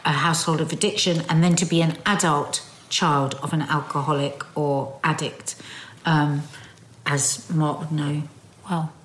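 Low-voiced woman podcast co-host. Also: fairly even intonation, measured pace, a little hiss or hum. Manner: warm, theatrical projection, reads listener messages. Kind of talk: casual podcast chat. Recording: roomy echo.

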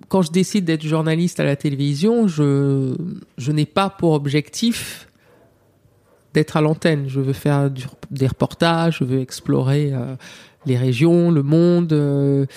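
A middle-aged woman, a TV journalist, speaking reflectively in an interview. She often pauses with fillers and restarts her sentences. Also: sits low, mellow. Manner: hesitant, many fillers and restarts.

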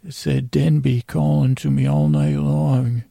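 A man hosting a podcast with a rambling bedtime monologue, meandering, halting and circling back on his own sentences. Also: fairly high pitch, little pitch movement, gravelly, mellow, no room sound, studio quality. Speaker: podcast host.

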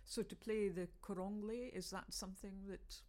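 A woman's voice: mid-range voice, steady tone, normal speed, a little mellow. A woman hosting an interview podcast, asking questions and murmuring brief agreement as she listens. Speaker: podcast host. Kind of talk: casual interview chat.

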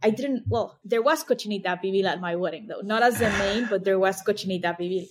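A woman in her late thirties from Mexico City speaks English with a Mexican Spanish accent, her first language being Spanish. Her voice sits at a middle pitch for a woman. She talks in a chatty, fond storytelling way and slips Spanish words into her sentences. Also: fast, noisy background. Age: late 30s. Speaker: guest, snack company founder. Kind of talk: casual interview chat.

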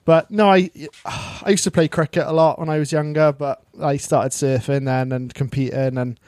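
A man's voice: on the high side, little pitch movement, normal speed, darkish tone, no room sound. A middle-aged man, chatting as a podcast guest in a hesitant way with many restarts and "you know"s. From Wales. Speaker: guest, surfer. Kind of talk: casual interview chat.

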